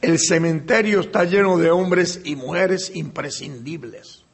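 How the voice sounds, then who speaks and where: A man's voice: on the high side, measured pace. A man chairing a recovery meeting, making brief, businesslike announcements.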